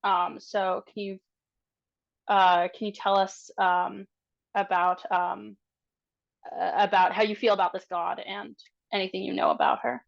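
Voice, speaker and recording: A woman playing a tabletop game, improvising casually and thinking aloud in a halting way. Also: mid-range voice, level tone, gravelly, dull audio.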